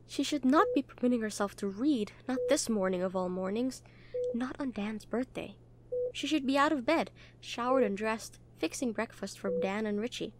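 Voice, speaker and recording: A woman playing a caring, protective young hospital nurse in an intimate audio roleplay, speaking gently, conversationally and a little hesitantly. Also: a little high, moderate pace, natural voice. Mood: calm reading.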